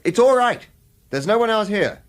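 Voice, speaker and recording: An actor playing a wily, world-weary hired killer in a radio thriller, with wry, informal delivery. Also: male voice, sits high, animated, normal speed, noisy background. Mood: placating. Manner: wry, informal, urgent persuasion.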